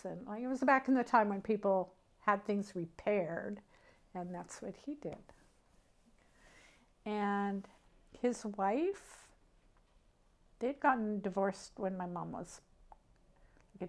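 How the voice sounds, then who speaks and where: Middle-aged woman reminiscing in halting conversation. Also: medium pitch. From Canada. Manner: halting, conversational, thinking aloud. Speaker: interviewee sharing family memories. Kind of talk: casual personal reminiscence.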